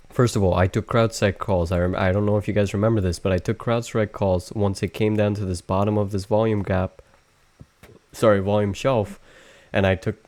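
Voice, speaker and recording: Low monotone young man, halting. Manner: monotone, halting with many restarts. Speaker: trading stream host. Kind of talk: casual live trading lesson.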